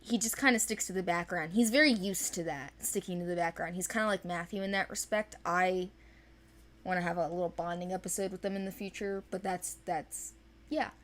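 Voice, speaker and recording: A woman's voice: fairly low pitch, steady tone, brisk, fairly bright tone. Casual young woman, chatty. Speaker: animated series creator presenting characters. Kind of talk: casual unscripted character overview.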